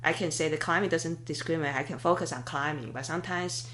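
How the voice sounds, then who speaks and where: A woman's voice: low-pitched, fast talker, fairly bright tone, a little hiss or hum. A woman in her thirties hosting a podcast interview, speaking thoughtfully and hesitantly, with fillers and restarts as she searches for words. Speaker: podcast host. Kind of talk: conversational interview.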